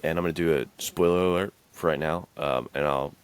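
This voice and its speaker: A man's voice: very deep voice, steady tone, brisk, clear, resonant tone. A man co-hosting a podcast in casual, enthusiastic chat, retelling things with slangy emphasis. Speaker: podcast co-host.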